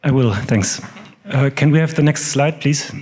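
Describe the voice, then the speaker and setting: German-accented male speaker, conference talk, halting delivery with lots of ums. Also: mid-range voice, darkish tone, slightly rough. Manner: hesitant, many fillers. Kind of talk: stage presentation.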